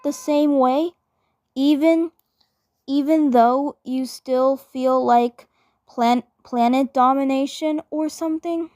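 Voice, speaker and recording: High-voiced woman, slow and halting. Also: steady tone, a little mellow, no echo, studio quality. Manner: halting, stammering, repeating phrases. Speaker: fan dub performer.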